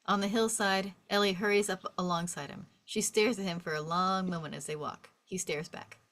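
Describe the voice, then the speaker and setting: Casting: female narrator, slightly low pitch, reads stage directions for an informal script read-through. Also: moderate pace, a bit bright.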